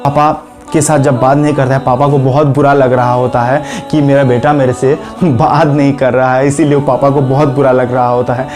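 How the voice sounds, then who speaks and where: A man with an Indian accent telling a moral story in an informal, animated way. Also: mid-range voice, moderate pace. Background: music.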